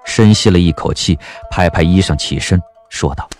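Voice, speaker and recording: A man narrating an audio drama in an expressive storytelling manner. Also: deep voice, normal speed, faint music behind.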